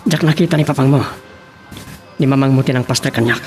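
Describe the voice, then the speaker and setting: A man's voice: mid-range voice, fast talker, darkish tone, soft background music, lightly acted. A young man playing a devoted, earnest sweetheart in an Ilocano radio drama. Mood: tender.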